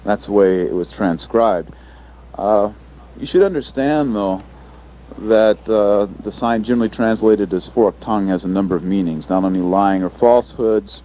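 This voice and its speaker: A man giving a presentation as an interpreter, speaking thoughtfully with pauses and fillers. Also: on the low side, a little hiss or hum. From United States. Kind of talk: recorded panel presentation. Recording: dull lo-fi recording, faint ambience.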